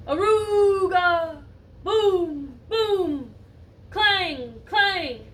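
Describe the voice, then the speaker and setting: A woman voicing a hapless male elephant from a storybook, with exaggerated, loud exclamations. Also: very high voice, expressive, very slow, pitched above the performer's own voice, heavily acted. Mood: loud, distressed. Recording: distant mic, faint background noise.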